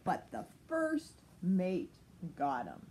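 A woman's voice: lowish voice, huge pitch swings, very slow, dark tone, a little hiss or hum. A woman in her sixties reading a children's picture book expressively, lingering dramatically on words. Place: sunny room at home by large window.

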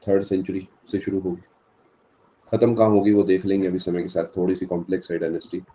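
A man's voice: low voice, flat delivery, fast talker, warm tone, dull audio. A male teacher with a sore throat giving a live exam-prep lecture, answering students from the chat by name and mixing in English terms.

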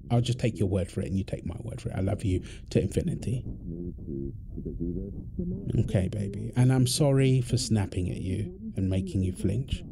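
Guy playing a sweet tired husband in a roleplay audio, talks slow n tender, noisy ambience behind him. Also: mid-range voice. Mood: loving, sleepy. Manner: tender, soft-spoken endearments. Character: affectionate, tired, soothing husband and father. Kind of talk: intimate boyfriend-style audio roleplay. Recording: clear background ambient noise.

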